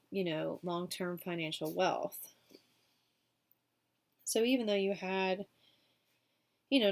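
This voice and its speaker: A woman with a cold doing a casual weekly tarot reading, chatty and full of fillers. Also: lowish voice. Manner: chatty, many fillers like um and you know. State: sick, sniffling. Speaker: tarot reader.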